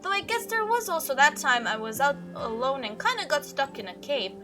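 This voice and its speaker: A woman performs an excitable young female monster hunter from a visual novel. Her voice is high and very lively in pitch. She gushes and chatters through her tales, with music playing behind her. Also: fairly quick. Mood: breezy reminiscing. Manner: animated, gushing storytelling. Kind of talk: visual novel character read-aloud.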